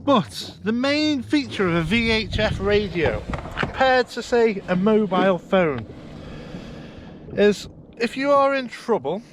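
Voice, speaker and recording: A man with a notably high voice talks casually to camera as he vlogs. He is enthusiastic and explanatory, recorded outdoors on a boat with clear water and boat noise behind him. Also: big pitch swings, slow pace. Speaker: vlogger.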